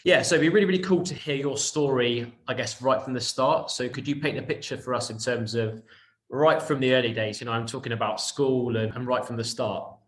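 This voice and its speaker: A man's voice: mid-range voice, a little fast. A man hosting a podcast, asking loosely worded, rambling interview questions. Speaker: podcast host.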